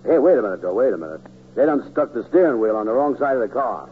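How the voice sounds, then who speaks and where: An actor playing a slow-witted, gullible buddy in a radio comedy, with a folksy, ungrammatical, drawling manner. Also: male voice, medium pitch, lively intonation, slightly rough. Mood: puzzled. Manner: drawling, skeptical yet easily persuaded, ungrammatical. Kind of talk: 1940s radio comedy acting. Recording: tinny, hissy old radio broadcast.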